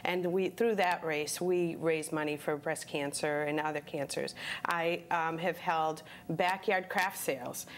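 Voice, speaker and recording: Woman from the Dominican Republic, fairly low voice, casual studio interview guest, easy laugh, faint background noise. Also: fairly even intonation, unhurried. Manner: laughs easily, switches into Spanish. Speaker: guest, fundraiser.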